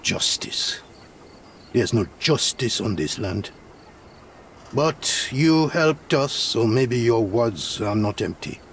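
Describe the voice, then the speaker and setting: An actor playing a protective, middle-aged refugee man in a game, grave, wary and measured. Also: mid-range voice, animated. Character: guarded, protective male refugee leader. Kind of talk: dramatic game cutscene dialogue. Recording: faint night insect noise behind.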